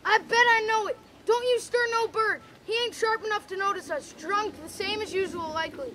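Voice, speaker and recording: A woman's voice: extremely high pitch, brisk, pitched above the performer's own voice. A woman reading aloud, voicing a scared, superstitious ragged boy in rustic dialect, with background noise.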